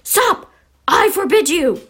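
A woman's voice: very high voice, expressive, slow pace, fairly bright tone, voice pushed up, exaggerated voice. A woman voicing a middle-aged storybook uncle, pompous and commanding, full of fearful anger. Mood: panicked, commanding.

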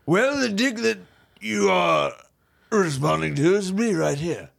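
A man plays a pompous, moneyed bachelor hosting a comedy dating show. His affected, haughty upper-class delivery swoops up and down in a lively way. The voice is thick with gravelly creak. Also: high voice, measured pace, exaggerated voice. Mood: indignant, condescending. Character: pompous, snobbish rich bachelor playing dating show host. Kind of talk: improvised comedy sketch.

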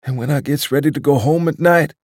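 A man's voice: a little high, fairly quick, no room sound, clean studio sound, pitched above the performer's own voice, natural voice. A man voicing a sweet, doddering old male club waiter, mournful and respectful.

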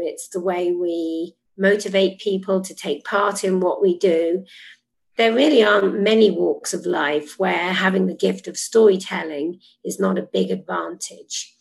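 A woman program leader giving earnest, measured answers in an interview. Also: mid-range voice, creaky.

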